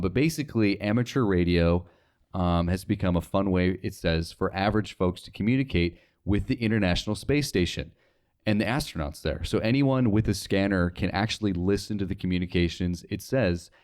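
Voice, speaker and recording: A man's voice: sits low, darkish tone. A young man talking casually as an interview guest, informal and rambling, often saying "like". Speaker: podcast guest, radio sales associate.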